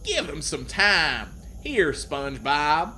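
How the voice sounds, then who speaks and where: A man voicing a caring female cartoon squirrel in a drawn-out, coaxing way. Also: a little high, sing-song, pushed and bright, slight background noise, full character voice. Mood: consoling.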